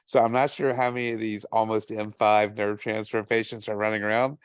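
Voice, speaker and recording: A man's voice: fairly low pitch, flat delivery, brisk, clear, resonant tone, no room sound. A man with a Southern American accent co-hosting a podcast, teasing his guests in a playful way. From Southern United States. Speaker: podcast co-host. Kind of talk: casual podcast chat.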